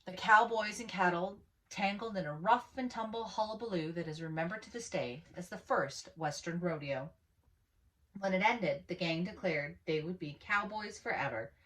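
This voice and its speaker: Low-voiced woman reading a children's story. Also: low-quality recording. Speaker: storyteller reading a picture book aloud. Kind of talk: expressive children's story read-aloud.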